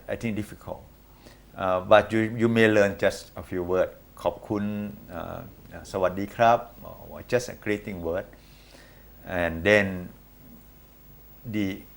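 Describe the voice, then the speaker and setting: A man with a Thai accent giving measured advice as a coach in an interview. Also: sits low. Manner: measured, advisory, short repeated okays. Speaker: management coach guest. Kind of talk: interview answers.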